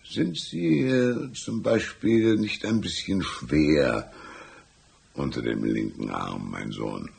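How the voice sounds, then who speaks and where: An actor playing a folksy, shrewd older small-town lawman in a radio drama, patronizing and unhurried, with a gravelly, husky voice. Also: rumbling low, expressive, a little mellow, heavily acted. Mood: sly, amused. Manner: drawn-out, patronizing, calls listener "my son".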